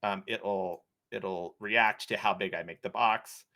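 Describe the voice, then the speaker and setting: Casual bright-voiced tutorial co-host. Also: deep voice, steady tone, takes it slowly, gravelly. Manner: casual, thinks aloud, many fillers. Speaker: co-host, platform guide. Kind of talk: live stream tutorial.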